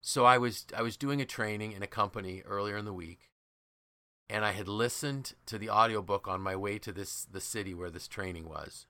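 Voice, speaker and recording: A man's voice: on the low side, brisk, bright, forceful tone, no room sound. A man hosting a podcast, telling stories conversationally in an enthusiastic, emphatic way and acting out quoted dialogue. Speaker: podcast host and leadership trainer.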